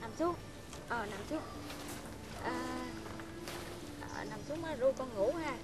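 A woman voicing a frail, tender old grandmother who talks softly and repeats soothing words. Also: extremely high pitch, normal speed, over music, voice pushed up.

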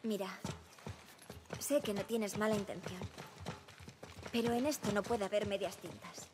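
A woman's voice: mid-range voice, fairly even intonation, measured pace, background noise, close to an everyday voice. An actress playing an earnest, spirited teenage girl survivor in a Spanish video game dub, with a Castilian accent and youthful, informal manner. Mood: determined. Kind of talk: dubbed video game dialogue. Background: music.

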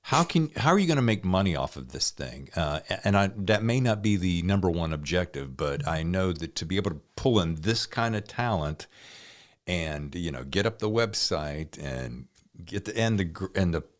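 Male interviewer, middle-aged, low register, candid and colloquial, conversational podcast delivery. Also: lively intonation, moderate pace.

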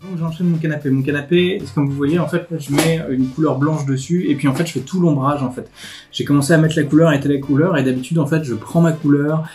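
A man's voice: fairly high pitch, fairly even intonation, fast. A man, explaining things in an informal, conversational way as a vlog host. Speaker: video host, animator. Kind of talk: casual explanatory vlog talk to camera. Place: indoors, living room with a desk. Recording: music playing behind the voice. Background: music with guitar.

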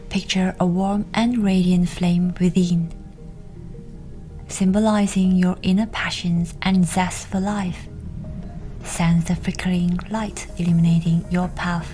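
A woman with a breathy, whispery voice leads a scripted guided meditation in a soothing, unhurried way, with pauses between instructions. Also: fairly low pitch, level tone, dark tone. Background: music.

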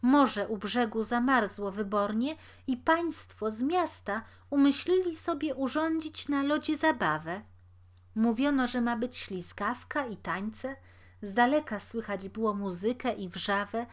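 A woman's voice: fairly high pitch, unhurried, lightly acted. A woman voicing a humble, sad, pious poor old woman in a storybook tale, speaking meekly and deferentially. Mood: earnest recollection. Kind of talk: storybook character reading.

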